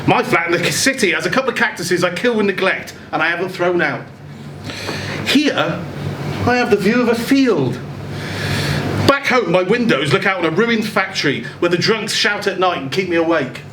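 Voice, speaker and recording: A man giving an expressive live reading of a first-person short story. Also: sits high, normal speed. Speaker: story reader. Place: indoor event venue with audience. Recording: echoey room, clear background noise.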